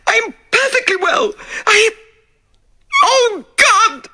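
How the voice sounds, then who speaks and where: A man's voice: expressive, measured pace, pushed and bright, heavily acted. A man doing a shrieking terrified widow. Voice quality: shrill crying falsetto. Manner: screaming, gasping. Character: hysterical terrified widow, middle-aged woman.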